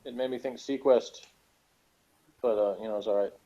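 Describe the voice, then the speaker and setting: A man's voice: mid-range voice, steady tone, moderate pace, warm tone. A man chatting casually as a panelist in a group review of a TV show, with a sarcastic, joking manner. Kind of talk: casual group discussion of a TV episode. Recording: dull lo-fi recording.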